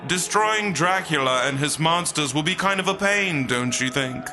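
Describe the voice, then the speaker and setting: A man playing a holy being of light in a comic audio drama, booming and declamatory, with flippant, teasing asides. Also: expressive, normal speed. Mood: grand, wry. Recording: music behind the voice.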